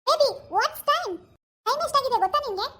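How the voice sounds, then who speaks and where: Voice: very high-pitched, expressive, normal speed, pushed and bright, heavily acted. A performer voicing a spoiled, bossy young wife in a comic family skit who talks in a haughty, whiny way and mixes in English words. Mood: demanding.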